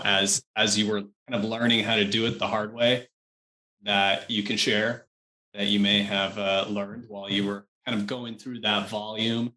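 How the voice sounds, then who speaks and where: A man's voice: fairly low pitch, pushed and bright, low-quality recording. A man co-hosting a podcast interview, asking a hesitant question that trails off at the end. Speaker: podcast co-host.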